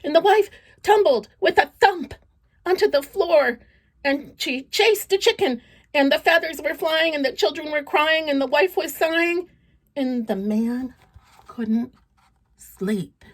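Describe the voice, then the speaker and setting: A woman tells a children's story in a fairly high voice. She takes it very slowly and reads with playful drama, as if drawing young listeners in with questions. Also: expressive. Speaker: storybook reader. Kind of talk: expressive children's read-aloud storytelling.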